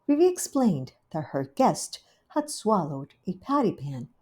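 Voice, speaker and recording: Woman narrator for a classic children's tale, slow, lilting and lively. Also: lowish voice, breathy edge. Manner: unhurried, gently expressive storytelling. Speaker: storybook reader. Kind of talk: reading a children's story aloud.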